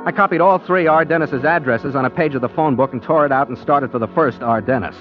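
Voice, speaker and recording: An actor playing a chipper, guileless, self-satisfied private detective, narrating breezily in 1940s radio drama style. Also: male voice, medium pitch, fast talker, music in the background, natural voice. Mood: chipper, smug. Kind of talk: 1940s radio acting, first-person narration. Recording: muffled 1940s radio recording.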